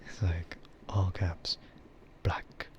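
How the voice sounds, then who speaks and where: Low, soft-spoken man rambling slowly, half whispered. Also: level tone. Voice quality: soft, often dropping into a whisper. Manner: rambling, hesitant, easygoing. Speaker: VTuber streamer. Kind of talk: soft ASMR casual chat. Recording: muffled lo-fi audio with clear noise.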